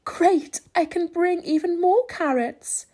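An eager little storybook rabbit, as a woman reader puts him on. The voice is high and expressive, exclaiming with cheerful or apologetic feeling. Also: slow pace. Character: eager, cheerful rabbit obsessed with his carrots.

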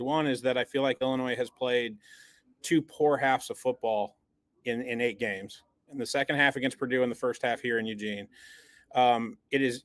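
A man's voice: mid-range voice, fairly bright tone, no room sound. A man in the middle of his range co-hosts a sports podcast, dissecting a loss with blunt, emphatic opinions. He often repeats words as he gets going. The sound is close and dry, with no room around it. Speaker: podcast co-host.

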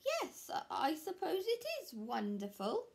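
Storybook square block, male, played by a woman: flustered, hesitant, lamenting, very high, slow delivery. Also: sing-song, vocal fry, darkish tone, heavily acted. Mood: unsure. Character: anxious, unsure male square block-pusher, easily flustered.

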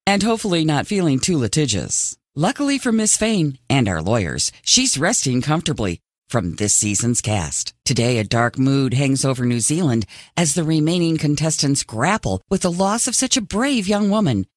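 Lively, theatrical game show host. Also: male voice, on the high side, normal speed, no room sound, studio quality. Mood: mock solemn. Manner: dramatic, tongue-in-cheek pompous delivery. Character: upbeat, theatrical reality competition show host. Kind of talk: scripted TV announcer narration.

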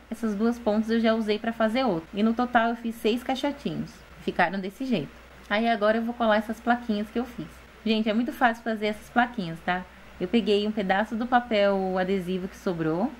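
Brasileira explicando artesanato passo a passo. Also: female voice, medium pitch, a little hiss or hum, low-quality recording. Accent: Brazilian Portuguese. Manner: patient, explaining, often adds "gente" and "tá?". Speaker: DIY channel host. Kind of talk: step-by-step craft voice-over.

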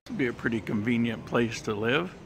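A man's voice: medium pitch, a little fast, creaky. Chatty man vlogging in a mall. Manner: chatty, relaxed, with frequent fillers. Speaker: travel vlogger. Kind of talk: casual walking vlog narration. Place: outdoor mall terrace and shopping center. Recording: handheld camera with street and mall noise.

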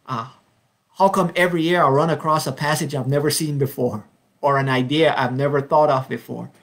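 A man in his fifties from Belize leading an informal Bible study over a webcam at home, speaking earnestly and unhurriedly with frequent ums, slowing down to read verses. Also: a little high, steady tone, fairly bright tone. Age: 50s. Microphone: webcam, video call framing.

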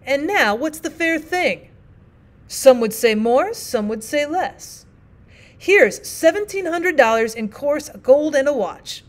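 A woman voicing a rough, earnest middle-aged frontier miner with a rustic Old West drawl, rambling in folksy dialect. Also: sits high, animated, slow, voice pushed up. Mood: earnest pleading. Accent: put-on rustic Old West drawl.

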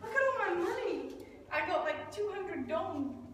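A young woman in her twenties chatting quietly and giggling in a hotel hallway late at night. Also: extremely high pitch, very animated, measured pace. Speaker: friend on tour. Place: hotel corridor. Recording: echoey hallway with background hiss.

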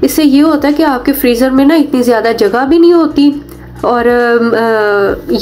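A woman's voice: on the high side, darkish tone, a little hiss or hum. A woman with a Pakistani accent, a mom vlogger narrating in a chatty, explanatory way and speaking directly to viewers. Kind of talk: casual homemaking vlog narration.

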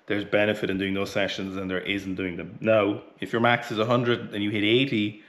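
Irish male coach, 30s, slightly low pitch, casual, swears freely, sweaty between lifts. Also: level tone, a little fast, fairly bright tone. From Ireland. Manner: blunt, chatty, swears casually. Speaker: weightlifting coach. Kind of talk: casual training vlog talk. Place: small indoor home gym, warm. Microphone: camera close in front.

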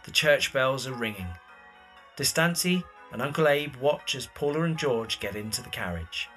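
A male narrator reads scripted scene descriptions for an audio drama. His voice is of medium pitch, and music plays in the background. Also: animated, moderate pace, fairly bright tone. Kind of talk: scripted narration of stage directions.

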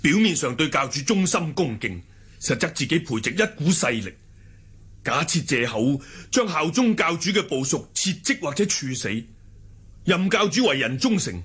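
An actor playing a loyal, blunt martial-arts lieutenant in a Hong Kong Cantonese radio drama, recounting things emphatically. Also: male voice, on the high side, very animated, normal speed, a bit bright, husky, full character voice. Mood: earnest, bitter.

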